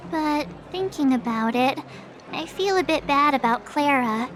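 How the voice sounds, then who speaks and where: An actress playing a perky, upbeat young woman adventurer in a video game, chatty and expressive. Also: high-pitched, takes it slowly, a little hiss or hum. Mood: thoughtful, chatty. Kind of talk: animated video game dialogue.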